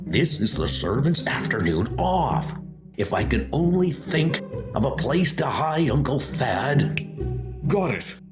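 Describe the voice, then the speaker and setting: A man's voice: mid-range voice, sing-song, slow, over music, pitched above the performer's own voice. A man playing a scheming, pompous heir and murderer, theatrical and gloating, in a comic ghost story dub. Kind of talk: comic dub horror acting.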